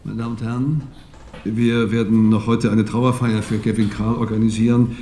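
An actor playing a formal, firm football club official addressing the press in a crime drama. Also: male voice, fairly low pitch, level tone, moderate pace, dark tone, close to an everyday voice. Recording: roomy echo, faint noise.

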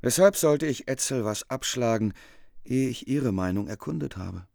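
An actor in a radio drama playing a calm king who weighs a decision deliberately. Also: mid-range voice, creaky, no echo, clean studio sound.

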